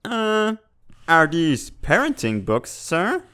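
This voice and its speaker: A man's voice: fairly high pitch, wildly swooping pitch, slow pace, sharp tone, clear, resonant tone, full character voice. A man voicing a goofy but dutiful bird spy in a comic dub, deferential and colloquial in his speech. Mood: earnest, respectful. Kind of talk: dramatic fan-dub comic acting.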